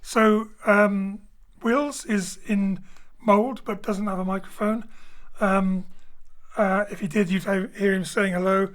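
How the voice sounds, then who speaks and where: A man's voice: sits high, steady tone, slow pace. A man speaking as host and director, giving an informal, explanatory introduction from a home studio. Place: home studio, remote link.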